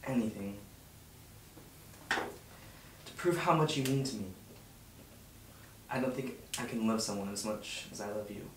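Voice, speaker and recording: A young man playing a heartfelt, lovesick teenage boy in a script read-through, halting and earnest. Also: on the low side, natural voice. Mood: regretful, pleading. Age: young adult. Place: home dining room around a table. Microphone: far camera. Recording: roomy, distant mic, clear background noise.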